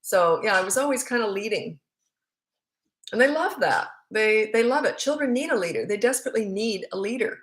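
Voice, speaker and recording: A woman's voice: on the high side, lively intonation, fairly quick. A middle-aged woman with a Canadian accent, a parenting coach answering viewer questions live in a frank, chatty, rambling way.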